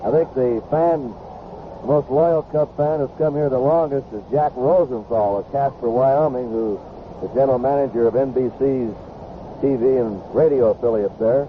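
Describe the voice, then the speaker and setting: A man's voice: medium pitch, normal speed. A man with an American accent calls a baseball game live as a radio play-by-play announcer. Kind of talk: live radio sports commentary. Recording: noisy 1970s radio baseball broadcast, phone-like. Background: stadium crowd ambience and noise.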